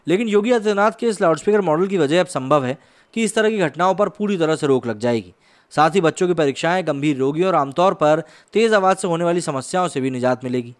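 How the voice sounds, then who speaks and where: A man in his thirties from India presenting explanatory news commentary as a host, speaking into a close studio microphone. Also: on the high side. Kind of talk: news explainer commentary, part conversational, part scripted narration. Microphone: close desk microphone on a boom arm.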